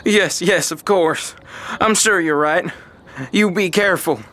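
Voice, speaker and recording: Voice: mid-range voice, a little slow, noisy background, close to an everyday voice. A performer playing the worried father of a grown sorceress in a fantasy audio drama. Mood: flustered.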